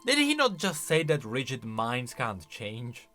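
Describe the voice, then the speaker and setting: Bright, animated male game commentator. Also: medium pitch, measured pace. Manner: expressive, emphatic, thinking aloud. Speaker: video game lore commentator. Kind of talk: casual reaction commentary. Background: music.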